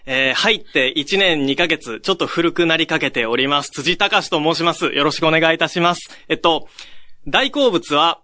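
A young man chatting as a guest in an earnest, courteous way, quick to apologise. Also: a little high, bright, forceful tone. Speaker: guest, trainee voice actor. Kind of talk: casual self-introduction and banter.

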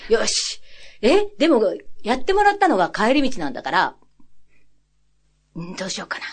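A woman's voice: medium pitch, huge pitch swings, moderate pace. A woman hosting a radio show in a chatty, playful and giggly way.

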